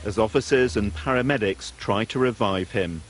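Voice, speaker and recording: A man's voice: fairly low pitch, moderate pace, heavy background noise. A man reading a scripted news report in the style of a reporter's voice-over.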